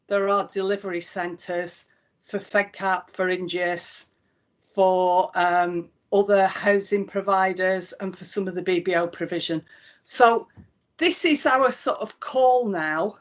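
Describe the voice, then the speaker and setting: A woman with a Lancashire accent, a chief executive giving a live walkthrough of a website and explaining each click in a conversational way with frequent ums. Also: mid-range voice. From Northwest England. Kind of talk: live software demonstration.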